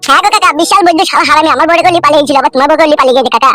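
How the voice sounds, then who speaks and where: গ্রামবাংলার টানে একজন পুরুষ কার্টুনে এক বাড়িমুখো, নরম অথচ মুখখারাপ তরুণ স্বামীর গলা দিচ্ছে, অনুনয়ের সুরে।. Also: male voice, extremely high pitch, very fast, bright, forceful tone, faint music behind. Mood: pleading. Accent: rural West Bengali. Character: pleading, homesick young husband, meek but foul-mouthed. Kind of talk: amateur comedy cartoon dub.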